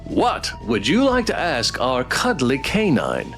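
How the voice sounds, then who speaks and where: Guy doing a showy dating show host dude, teasing, really bouncy pitch, visual novel. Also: wildly swooping pitch, takes it slowly, fairly bright tone, soft background music, heavily acted. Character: peppy male dating game show host. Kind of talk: visual novel dialogue read-aloud.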